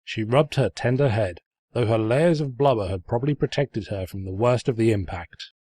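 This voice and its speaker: A man's voice: mid-range voice, normal speed, dark tone, no room sound, lightly acted. Man playing a snide, pompous storybook narrator, dry recording. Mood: mocking. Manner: pompous, sarcastic, florid storytelling delivery. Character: snide, mocking male storybook narrator who insults the heroine. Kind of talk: scripted comedic fan fiction narration.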